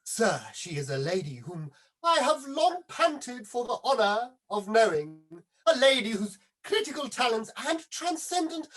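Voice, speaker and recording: A woman's voice: fairly high pitch, very animated, measured pace. A woman playing a flamboyant, self-advertising male puffer in a period stage comedy, with pompous, effusive delivery. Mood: smug, effusive. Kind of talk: theatrical period comedy play reading.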